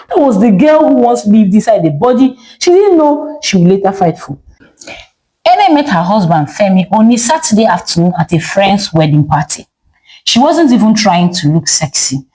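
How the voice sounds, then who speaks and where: Animated Nigerian woman telling a story. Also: fairly high pitch, rough recording. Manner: theatrical, with emphatic short phrases and rhetorical questions. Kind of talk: scripted dramatic story narration.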